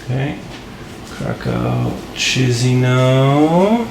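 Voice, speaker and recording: Male presenter, mid-pitched, informal and unscripted how-to delivery with distracted asides, recorded in an echoey room. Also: huge pitch swings, extremely slow. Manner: rambling, easily distracted, self-interrupting asides. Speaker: board game designer presenting a tutorial. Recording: roomy echo, clear background noise.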